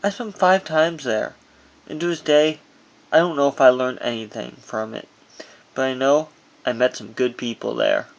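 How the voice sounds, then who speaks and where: A man's voice: mid-range voice, moderate pace, creaky, dull audio. A man reading his own memoir aloud as narration, in a personal first-person delivery with dramatic emphasis, on an amateur recording.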